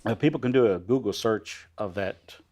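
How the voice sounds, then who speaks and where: A man in his sixties hosting a TV studio interview, asking leading questions in a halting way with many pauses. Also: fairly low pitch, darkish tone. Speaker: host.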